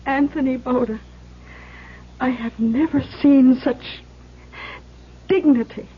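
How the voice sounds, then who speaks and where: An actress playing a gentle, anxious young schoolteacher in a 1970s radio drama, halting and emotional. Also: female voice, high-pitched, fairly even intonation, breathy edge, exaggerated voice. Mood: sad resolve. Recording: muffled old radio broadcast.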